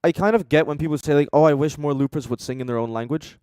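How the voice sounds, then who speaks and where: A man's voice: fairly high pitch, fast talker, a little mellow, clear, resonant tone, dry recording. A man from Denmark chatting casually as a co-host of a reaction show, with a blunt, joking, sweary manner. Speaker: co-host reviewing beatbox competition entries.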